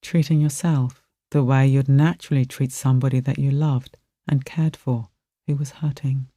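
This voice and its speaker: A meditation guide narrating a relaxation exercise in a gentle, soothing manner with soft, spacious phrasing. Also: mid-range voice, steady tone, normal speed, dry recording, clean studio sound.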